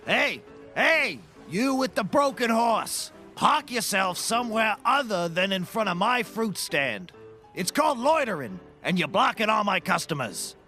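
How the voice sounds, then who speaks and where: An actor playing an irritable, blunt fruit stand vendor in a scripted comedy, scolding in a gruff, streetwise way. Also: male voice, high-pitched, sing-song, unhurried, pushed and bright, faint music behind, heavily acted. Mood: annoyed scolding.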